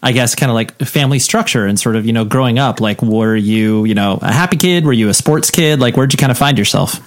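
Halting male podcast interviewer. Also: medium pitch. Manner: halting, searching for words. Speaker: podcast host. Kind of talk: interview questions.

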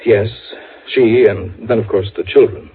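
An actor playing an anxious, earnest businessman with an American accent, speaking gravely and formally in a 1950s radio drama. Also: fairly low pitch, fairly even intonation, measured pace, natural voice. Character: anxious, earnest businessman, one of identical twin brothers. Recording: very muffled, fuzzy 1950s radio recording.

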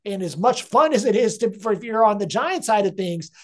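A man, a guest sports writer, breaking down football games in casual analysis, halting and restarting his sentences often. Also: high-pitched, lively intonation, darkish tone. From India. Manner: hesitant, many restarts and fillers.

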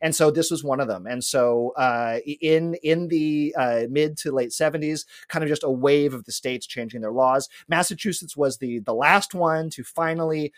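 A man's voice: fairly high pitch, moderate pace, few pauses, no echo. A man co-hosting a podcast, explaining trivia in a casual, rambling way with plenty of fillers. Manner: rambling, with many fillers and restarts; lecturing, explains in detail. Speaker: podcast co-host presenting a trivia segment.

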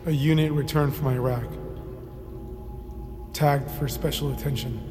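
An actor playing a troubled army therapist in an audio drama, haunted and burdened, with a halting delivery. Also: male voice, mid-range voice, steady tone, lightly acted. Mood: somber, haunted. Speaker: military psychologist. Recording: long reverb, music underneath.

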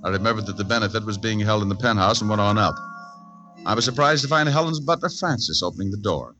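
An actor playing a tough, wisecracking private detective in 1940s radio style, with a wry, slangy delivery. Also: male voice, on the low side, fast, sharp tone, faint music behind. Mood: wry narration. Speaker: lead actor and narrator. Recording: dull vintage 1940s radio recording.

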